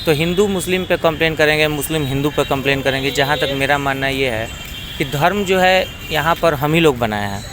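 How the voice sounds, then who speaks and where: A man's voice: on the high side, a little fast. A man explaining his opinion at length in a street interview, outdoors. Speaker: member of the public being interviewed. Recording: noisy outdoor street with traffic.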